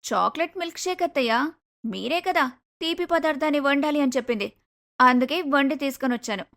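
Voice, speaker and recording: A woman playing an arrogant, lazy young daughter-in-law who talks back curtly in an animated moral story. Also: sits high, fast talker, gravelly, heavily acted. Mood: dismissive. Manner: curt, dismissive, argumentative.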